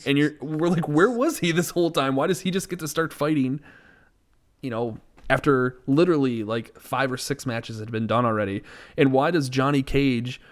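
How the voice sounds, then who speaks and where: A man's voice: mid-range voice, moderate pace. A man co-hosting a podcast, talking casually in a rambling way that circles back on his thoughts. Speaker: podcast co-host. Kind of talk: casual chat, film discussion.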